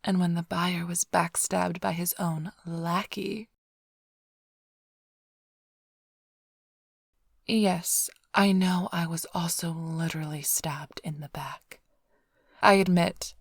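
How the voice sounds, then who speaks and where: A woman playing a flirtatious, smug immortal vampire thief in an ASMR roleplay, teasing the listener in a breathy, half-whispered, languid drawl. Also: on the low side, steady tone, measured pace, dry recording, clean studio sound, exaggerated voice. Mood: wry, reminiscing. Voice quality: breathy, often sinking into whisper.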